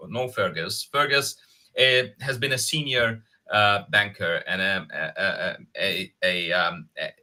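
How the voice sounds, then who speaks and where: A man hosting a casual interview, speaking haltingly and often repeating words. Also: on the low side, bright, forceful tone. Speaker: interview show host.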